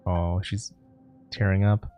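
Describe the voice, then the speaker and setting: A man's voice: sits low, level tone, slow, dark tone, soft background music. A man giving casual let's play commentary as a game streamer.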